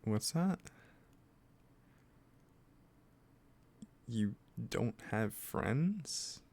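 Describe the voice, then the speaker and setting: Man acting as obsessive kidnapper, roleplay audio, very slow delivery, creaky voice, hesitant, sweetly possessive, soft-spoken. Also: fairly low pitch, full character voice. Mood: awkward, reassuring. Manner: slow, hesitant, gently coaxing. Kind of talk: roleplay audio drama, addressing the listener directly.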